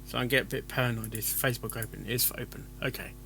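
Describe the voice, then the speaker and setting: A man's voice: mid-range voice, steady tone, fast, gravelly, pushed and bright, slight background noise. A man chatting casually as a live-stream reactor, stumbling over his words and interrupting himself. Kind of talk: casual live-stream chat with rehearsed intros.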